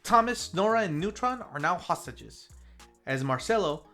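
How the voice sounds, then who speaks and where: Review show narrator of unclear gender, mid pitch, animated intonation, casual and playful scripted recap, faint music underneath. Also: moderate pace. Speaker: film review host.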